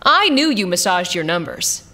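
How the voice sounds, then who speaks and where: Wry, animated combat pilot. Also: female voice, fairly low pitch, normal speed. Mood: teasing. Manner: sardonic, teasing. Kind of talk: science-fiction audio drama acting.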